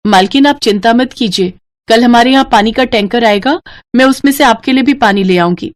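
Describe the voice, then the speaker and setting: A woman's voice: a little high, a little fast, voice pushed up, lightly acted. A woman voicing a humble, poor working mother and maid in a moral story, deferential and caring.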